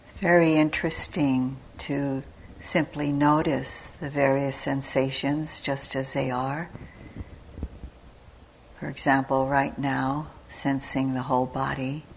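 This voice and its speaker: A low-pitched woman leads a guided meditation at a very slow pace. She speaks calmly in short, measured phrases with long pauses between them, and her intonation stays very flat. She is a teacher giving step-by-step instruction. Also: a little hiss or hum, poor audio. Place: meditation retreat hall.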